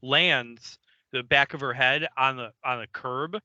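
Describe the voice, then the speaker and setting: A man's voice: mid-range voice, steady tone, a little slow, bright, forceful tone, no echo, muffled recording. A man co-hosting a podcast, retelling an episode in a loose, joking way with lots of fillers. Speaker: podcast co-host. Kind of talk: casual episode recap chat.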